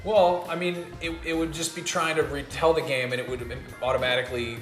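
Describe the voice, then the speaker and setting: Guy w a slightly high voice chatting on a panel, roomy sound n some music behind. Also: steady tone, brisk, a bit bright. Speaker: panelist on a video game talk show. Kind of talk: casual conversational discussion.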